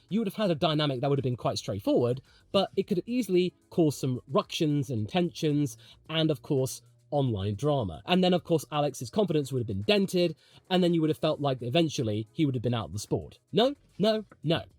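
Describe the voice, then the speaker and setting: A man's voice: a little high, big pitch swings, brisk, a little mellow, dry recording. A man presenting opinionated motorsport commentary in a part-scripted, conversational video essay style, with emphatic delivery and rhetorical asides.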